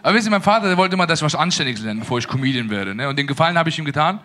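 A young man performs stand-up into a handheld mic on a small stage, his fairly high voice leaping up and down as he acts out little scenes. Faint noise sits behind him. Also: racing. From Afghan family in Germany. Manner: animated, acts out dialogues with put-on voices. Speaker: stand-up comedian. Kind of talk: stand-up comedy set. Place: small stage with living-room set before a live audience.

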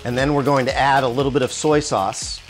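Calm man teaching a cooking demo. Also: medium pitch, brisk. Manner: calm step-by-step instruction with small restarts. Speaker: cooking show host. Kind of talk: cooking demonstration. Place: home kitchen indoors. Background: soft music and sizzling wok.

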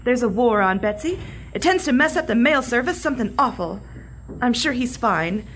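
A sassy, protective office pal in a comedic radio play. She speaks in a fairly high voice with wry, blunt teasing, over faint room noise. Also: lively intonation, normal speed, fairly bright tone. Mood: teasing concern. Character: sassy, protective woman coworker, quick to threaten. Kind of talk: comedic audio drama acting.

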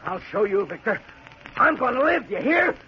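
An actor playing a selfish, bullying stranded trekker in a gruff, blustering 1940s radio drama style. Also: male voice, extremely high pitch, very animated, moderate pace. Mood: defiant. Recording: muffled, noisy 1940s radio recording.

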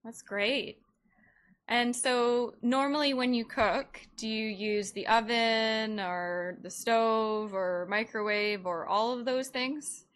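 A woman's voice: a little high, takes it slowly, a bit bright. A woman hosting a conversational podcast interview in an encouraging, affirming manner.

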